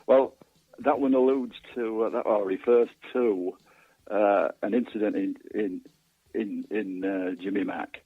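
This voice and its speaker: A man with a low voice and a husky edge chats as an interview guest. He stumbles and repeats words as he explains himself. The line is thin and phone-like, with very little room sound. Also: fairly even intonation, unhurried, no room sound. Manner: hesitant, stammers over repeated words. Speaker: guest author. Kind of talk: casual interview chat.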